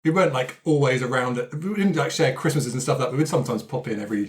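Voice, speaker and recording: A man's voice: mid-range voice, very fast. A man chatting casually as a player in a tabletop roleplaying show, improvising backstory. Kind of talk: casual improvised game discussion.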